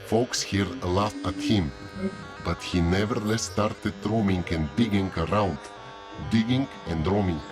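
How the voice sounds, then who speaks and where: An actor playing a gossipy tavern keeper who tells stories in a folksy, unhurried way in an audio drama. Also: male voice, low-pitched. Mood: grave storytelling. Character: gossipy male innkeeper at a moon tavern. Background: music.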